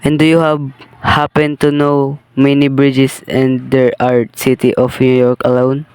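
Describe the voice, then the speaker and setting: Brief: student actor as smooth-voiced, dismissive police sergeant. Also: male voice, mid-range voice, completely flat delivery, a little slow, darkish tone, no room sound, lightly acted. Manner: dismissive, questioning. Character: skeptical male police sergeant.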